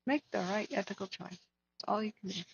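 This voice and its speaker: Hoarse woman hosting a livestream. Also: medium pitch, fairly quick, no room sound, lo-fi sound. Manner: earnest, talks to chat viewers by name. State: hoarse, losing her voice. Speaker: wildfire tracking livestream host. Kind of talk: casual livestream chat.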